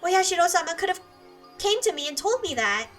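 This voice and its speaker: Unhinged guilt-ridden teen girl, high and piercing, set back from the mic over music. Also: very animated, fairly quick, fairly bright tone, distant mic, full character voice. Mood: obsessive. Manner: obsessive, repeating apologies, swinging from whisper to scream. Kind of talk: dubbed anime drama monologue.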